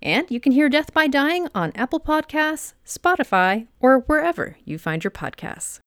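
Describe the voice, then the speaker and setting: A woman's voice: high voice, big pitch swings, moderate pace, a bit bright, dry recording, studio quality. A woman hosting a podcast, presenting in a scripted style. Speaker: podcast host.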